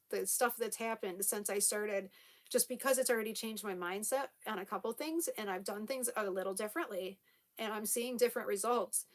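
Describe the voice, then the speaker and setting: Chatty woman with a slight lisp. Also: medium pitch. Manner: chatty, laughs often, many fillers and restarts. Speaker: vlogger reading viewer comments. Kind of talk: casual chat to camera.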